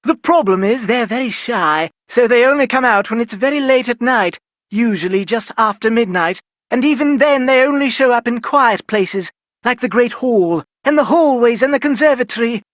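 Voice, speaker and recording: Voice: medium pitch, animated, normal speed, no room sound, close to an everyday voice. An actor voicing a playful young girl in a video game, speaking in a theatrical, conspiratorial storytelling manner. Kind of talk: scripted video game dialogue.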